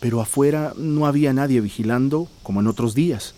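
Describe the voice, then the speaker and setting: An actor playing a desperate, worn-down migrant worker in a radio drama, earnest and pleading. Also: male voice, medium pitch, a little fast, dark tone, lightly acted. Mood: pained recounting.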